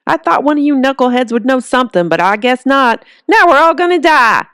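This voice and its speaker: A woman voicing an irate old townsman who grumbles and demands loudly in an audiobook. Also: sits high, lively intonation, a little fast, smooth, no room sound, clean studio sound, pitched above the performer's own voice. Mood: bitter despair.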